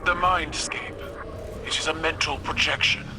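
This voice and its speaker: A man's voice: fairly high pitch, steady tone, normal speed, background noise, lightly acted. A man playing an imperious, vengeful alien warrior ruler in a video game, with formal, stately phrasing. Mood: ominous, formal. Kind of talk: dramatic video game voice acting.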